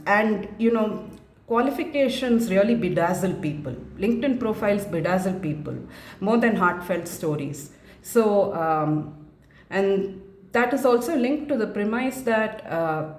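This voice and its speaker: A woman in her forties from India talks frankly through her views in a long, reflective monologue, often pausing on filler sounds. Her voice sits at a mid pitch. The recording has a noticeable room echo. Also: darkish tone. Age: 40s. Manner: thoughtful, frank, many "uh" and "um" pauses. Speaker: mental health advocate. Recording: echoey room.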